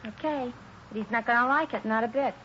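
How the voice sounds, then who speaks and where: A woman's voice: on the high side, a little fast, sharp tone. A woman playing a chatty, naive, smitten young woman in a 1950s radio police drama, speaking in breezy slang. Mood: nosy. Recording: dull, noisy 1950s radio recording.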